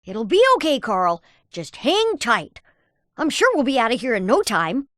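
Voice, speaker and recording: High-pitched, brainy cartoon boy inventor. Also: big pitch swings, normal speed, fairly bright tone, no room sound, studio quality. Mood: wry, reassuring. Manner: precocious, wordy scientific phrasing. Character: brainy, upbeat boy inventor, earnest and confident. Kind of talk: animated video game voice lines.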